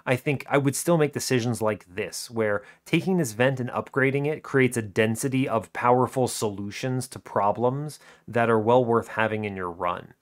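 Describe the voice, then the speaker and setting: A man's voice: mid-range voice, normal speed, hardly any pauses. A man giving casual, explanatory video game commentary in an informal way, often checking in with the listener. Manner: informal, animated bursts, checks in with rhetorical "right?" and "okay?". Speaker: video game streamer, series host.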